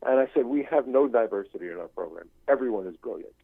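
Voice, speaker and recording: Halting older man on a phone-like line. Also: medium pitch, animated, slightly rough. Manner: halting, searching, circles back over phrases. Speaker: guest, screenwriting professor and writer. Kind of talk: unscripted interview talk.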